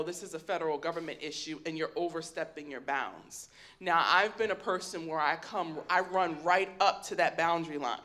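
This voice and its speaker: A woman's voice: low-pitched, fairly bright tone. A woman assemblywoman answering a constituent's question in a conversational way with frequent ums. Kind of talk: town hall answer.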